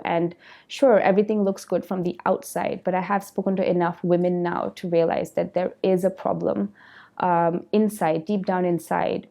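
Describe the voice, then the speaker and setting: A woman's voice: fairly low pitch, gravelly, warm tone. A woman in her thirties from Malaysia, a company founder speaking reflectively in a documentary interview, with frequent "you know" fillers.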